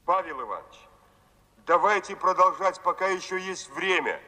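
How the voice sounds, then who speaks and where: An actor playing an attentive, businesslike male operator from the future in a Soviet radio play, brisk and official. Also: high voice, lively intonation, pushed and bright, exaggerated voice, poor audio. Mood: earnest, persuasive.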